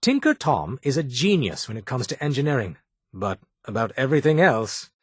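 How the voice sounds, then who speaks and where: A brusque British doctor, husky and expressive. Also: male voice, medium pitch, moderate pace, no room sound. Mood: disdainful. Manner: dry, sardonic, clipped formal phrasing. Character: brusque, prickly, haughty male underground doctor. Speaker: medic in a secret resistance group. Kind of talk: video game character voice acting.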